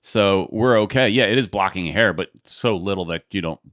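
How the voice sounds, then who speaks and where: Smooth-voiced man thinking aloud. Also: low-pitched, a little fast, fairly bright tone, no echo, studio quality. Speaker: podcast host. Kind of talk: casual chat.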